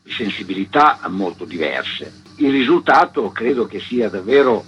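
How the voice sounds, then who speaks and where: A man's voice: lowish voice, big pitch swings, normal speed, a bit bright, soft background music, low-quality recording. A man interviewed on the radio as an exhibition coordinator, giving long, articulate explanations.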